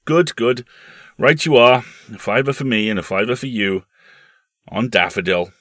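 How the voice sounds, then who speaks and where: A man voicing a jovial, well-to-do uncle in a storybook, booming and teasing as he talks. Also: normal speed, fairly bright tone, dry recording, studio quality, lightly acted. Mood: hearty. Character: hearty, amused, well-to-do adult uncle.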